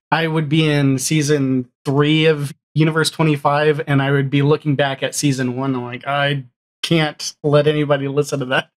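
Male podcast guest, forties, casual and self-deprecating, mid-range voice, over a video call. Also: medium pitch. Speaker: guest, audio drama creator and podcaster. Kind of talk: casual chat. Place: small room indoors, video call.

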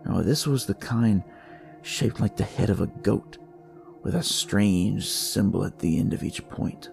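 A man playing a jaded, crude police detective, narrating his noir story in a wry, world-weary, conversational way with a gravelly, husky voice. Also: on the low side, unhurried, darkish tone, soft background music. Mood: wry, confiding. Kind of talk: noir first-person scripted narration.

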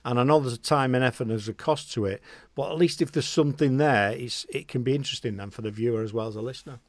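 A man's voice: mid-range voice, quick pace, no echo. A middle-aged man in his forties hosting an online radio show from a garden cabin studio, chatty and jokey, talking to a guest over a headset mic. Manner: chatty, jokey, says mate a lot. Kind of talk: casual interview chat. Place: small home studio cabin. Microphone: headset with desk mic, video call.

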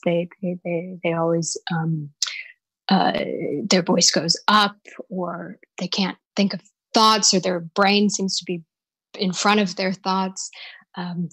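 A woman's voice: lowish voice, no room sound. A woman voice and dialect coach answering interview questions on a live podcast, thoughtful and searching for words as she explains.

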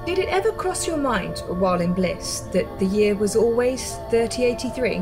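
A woman's voice: on the high side, lively intonation, moderate pace, over music. A woman playing a composed resistance medic in a radio drama, explaining things in a blunt, matter-of-fact way. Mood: grave, explanatory.

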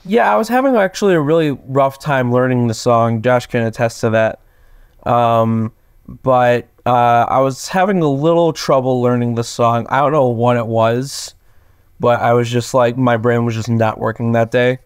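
A young man, a tenor with a voice lowered from just waking, talking casually and haltingly as an actor being interviewed. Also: clear, resonant tone, no room sound, clean studio sound. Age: young adult. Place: plain small room indoors, video call.

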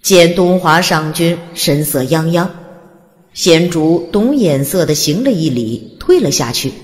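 A woman's voice: on the low side, animated, measured pace. A woman narrating a fantasy audiobook in a scripted, dramatic storytelling style. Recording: long reverb, faint music underneath. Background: soft music.

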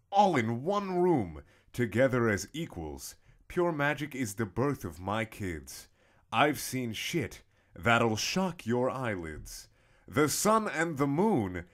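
A young man giving a mock-dramatic, theatrical reading with swooping emphasis and long pauses. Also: mid-range voice. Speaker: comedic reader.

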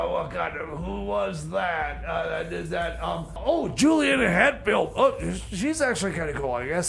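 A man playing a flustered, cranky radio DJ in comedy radio theater, stammering and grumbling with loud strained outbursts. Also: full character voice. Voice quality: high, strained, creaky. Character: cranky, flustered male radio DJ and time traveler. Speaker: radio show host.

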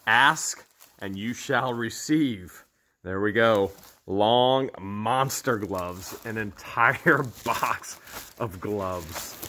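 Middle-aged guy, slightly low voice, eager running commentary, handheld camera in a big indoor warehouse. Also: sharp tone. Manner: enthusiastic, exclaims often, thinks aloud. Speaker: vlogger picking for resale. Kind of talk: excited running commentary while exploring. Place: large cluttered indoor warehouse.

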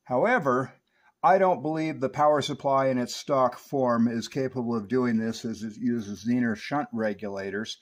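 A man's voice: mid-range voice, moderate pace. A man giving a hands-on technical equipment review, explaining each detail methodically as a presenter.

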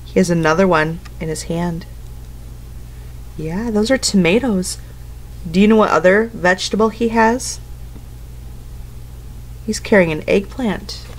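A woman's voice: mid-range voice, a little slow, long pauses, slight background noise. Woman teacher reading aloud to young children. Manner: instructive, simple phrasing for children, often asking questions. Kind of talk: picture-book read-aloud with asides and questions to young listeners. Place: indoors at home, living room couch.

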